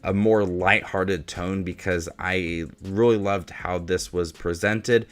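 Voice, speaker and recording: Low-voiced man reviewing for a video channel. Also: fairly bright tone. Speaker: YouTube movie reviewer, host. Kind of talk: casual review monologue to viewers.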